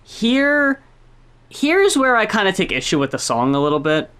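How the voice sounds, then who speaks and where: Clear-voiced, animated woman co-host. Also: mid-range voice, moderate pace. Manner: animated and blunt, swears freely and laughs often. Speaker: podcast co-host. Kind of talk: casual chat about music.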